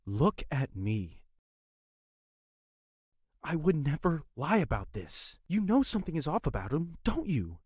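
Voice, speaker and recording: A woman playing an earnest, wounded young man pleading with a former love in an audio drama, husky and intense. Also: low voice, big pitch swings, unhurried, long pauses, a little mellow, dry recording, clean studio sound, heavily acted. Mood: urgent, insistent.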